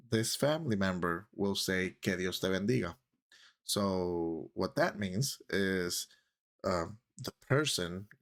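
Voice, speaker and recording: Man, 30s, Puerto Rican accent, low-ish voice; relaxed, rambling to-camera vlog talk. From Puerto Rico. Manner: chatty, rambling, switches into Spanish phrases. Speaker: YouTube creator.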